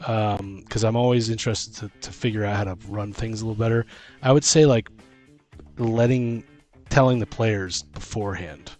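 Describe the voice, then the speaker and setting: A man's voice: lowish voice, normal speed, darkish tone, soft background music. A man talking casually and informally as a podcast host and dungeon master, thinking aloud about running tabletop games.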